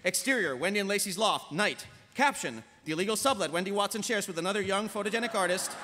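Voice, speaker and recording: A man's voice: high-pitched, animated, fast talker, bright, forceful tone. A middle-aged man reading stage directions as host of a live table read, announcer-like. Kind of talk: live scripted narration. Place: large indoor convention hall. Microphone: podium microphone. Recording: echoey convention hall, faint noise.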